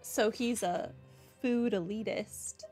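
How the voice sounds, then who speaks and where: A woman's voice: mid-range voice, takes it slowly, warm tone, faint music behind. A woman giving casual playthrough commentary, reading game dialogue choices aloud. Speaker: game streamer.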